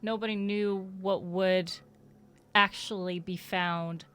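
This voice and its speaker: A woman's voice: medium pitch, fairly even intonation, takes it slowly, fairly bright tone, clean tone. A woman streamer giving casual, informal reaction commentary with a wry, joking manner. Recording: noisy, film audio behind voice. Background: sound of the horror video being watched.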